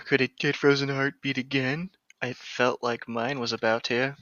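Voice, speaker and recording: A man's voice: medium pitch, level tone, normal speed, fairly bright tone, dry recording. A man co-hosting a casual read-along, bantering and sardonic as he mocks the text, on a slightly muffled recording. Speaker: co-host of a comedic book-reading show.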